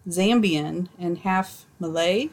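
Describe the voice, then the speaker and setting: Woman, casual co-host, reads stories aloud with dry, deadpan wit. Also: fairly low pitch, takes it slowly. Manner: wry, deadpan asides and swearing. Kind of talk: casual chat reading posts aloud.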